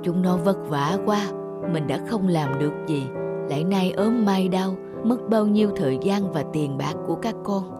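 Woman voicing a frail, low-pitched old grandfather. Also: normal speed, lightly acted. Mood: sorrowful. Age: older. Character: frail, tender, self-sacrificing old grandfather. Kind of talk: children's cartoon dubbing. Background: music.

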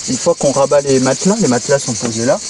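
A man's voice: mid-range voice, fast. A middle-aged man explaining things in a practical, hands-on way in a casual chat outdoors. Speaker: interviewee showing his camper van. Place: outdoors at the open back of a van in a grassy field. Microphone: handheld camera a step or two away. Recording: distant mic, clear outdoor noise.

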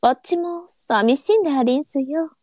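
Woman acting soft, high-voiced melancholy courtesan. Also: normal speed, no echo, lightly acted. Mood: wistful, lonely. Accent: courtesan arinsu dialect. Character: melancholy, tender-hearted adult courtesan of old Japan. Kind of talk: online live voice drama acting.

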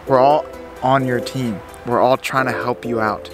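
A man's voice: medium pitch, steady tone, a little slow. A young man joking and teasing his friend in a casual vlog, filmed close up outside by a car. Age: young adult. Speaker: co-host friend. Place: outdoors by a car. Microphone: handheld camera close up. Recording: loud background music.

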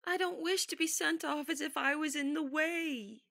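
A woman's voice: high voice, moderate pace, gravelly, fairly bright tone. An actress playing a sulky, spoiled young girl in an audio drama, whining her complaints. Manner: sulky, whiny. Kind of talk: amateur audio drama acting.

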